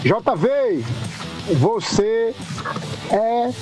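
A man's voice: very high-pitched, sing-song, very slow, over music. A Brazilian man leaving an effusive, exclamatory voice message as a radio listener. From Maceió, Brazil. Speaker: listener sending audio message.

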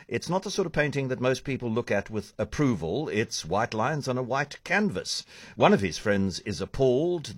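A man's voice: medium pitch, no echo. A man hosting an arts interview, talking in a rambling way with restarts and fillers. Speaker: radio arts host.

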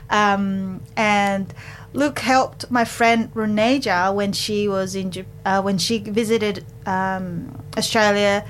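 A woman's voice: mid-range voice, fairly even intonation, slight background noise. Chatty Japanese-accented woman radio host. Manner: chatty, laughs often, switches to rapid Japanese. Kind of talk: community radio show hosting and chat. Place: radio studio.

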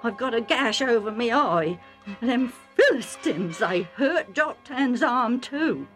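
A woman voicing an excitable male herdsman with rustic working-class speech, agitated and insistent, pleading with his master. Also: on the high side, takes it slowly, soft background music, pitched above the performer's own voice.

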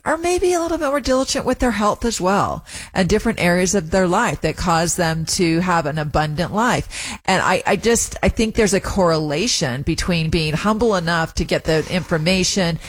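Chatty talk-radio host, woman. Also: on the low side. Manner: chatty, laughs often, explains studies at length. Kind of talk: casual radio chat.

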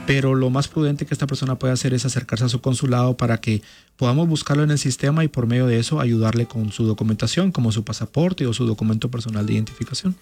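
A man's voice: medium pitch, darkish tone. A man, speaking as a consulate official in a radio interview, explaining things formally and patiently. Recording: faint music behind.

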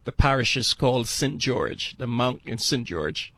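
An older man from Barbados, a radio guest telling his life story in an earnest, halting way. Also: mid-range voice, expressive, a bit bright, no room sound. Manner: earnest, halting with fillers and restarts.

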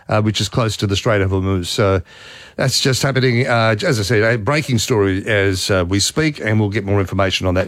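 A man's voice: on the low side, no room sound. Conversational male talkback radio host. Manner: conversational, reading news and taking calls.

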